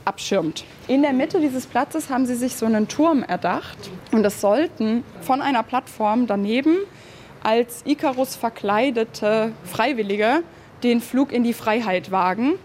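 Lady tour guide talking to a group in a station passage, slightly high voice, bit of background noise. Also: unhurried, a little mellow. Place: railway station corridor. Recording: noisy on-location recording with ambience. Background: station ambience.

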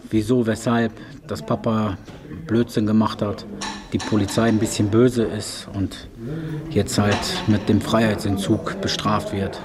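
A man's voice: fairly low pitch, level tone, measured pace, background noise. A man in his thirties, a prison inmate, giving an informal interview statement. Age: 30s.

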